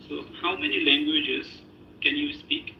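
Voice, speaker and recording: A man's voice: on the high side, a little slow, echoey room, highs cut off, a little hiss or hum. A man on a video call reading out practice test questions in a brief, hesitant way. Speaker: practice examiner asking questions.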